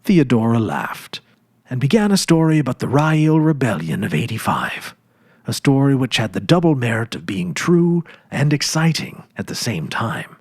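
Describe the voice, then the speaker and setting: A man's voice: medium pitch, breathy edge, dry recording, studio quality. A man narrating a story as a dramatic audiobook reading.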